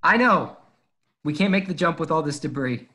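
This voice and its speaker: A man's voice: fairly high pitch, expressive, normal speed, pushed and bright. A man reading the part of a brash, urgent starfighter pilot in a script table read over a video call. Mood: tense, impatient.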